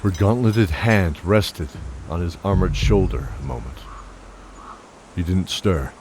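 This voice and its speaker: A man's voice: low voice, animated, slow, a little mellow, a little hiss or hum. A man narrating a scripted fantasy audio drama with dramatic storytelling. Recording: prominent music bed behind voice.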